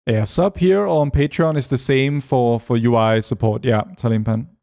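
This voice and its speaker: Gravelly, mellow-voiced male game streamer. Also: medium pitch. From Europe. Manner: reads chat aloud while playing, quick asides. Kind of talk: casual gaming stream chat.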